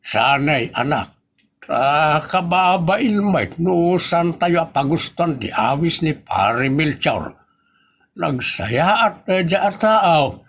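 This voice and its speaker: A man's voice: on the high side, lively intonation, takes it slowly, a bit bright, husky, exaggerated voice. A man with an Ilocano accent playing a bashful, fussy husband in a rural family audio comedy. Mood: nagging.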